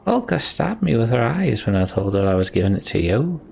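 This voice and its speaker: A man's voice: mid-range voice, sing-song, brisk, gravelly, a little mellow, slight background noise. A man voicing a boastful, rambling old minstrel from a video game, telling tales in colloquial speech. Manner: colloquial, storytelling, swooping emphasis. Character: rambling, boastful old male minstrel, cheerfully world-weary. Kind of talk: reading video game dialogue in character.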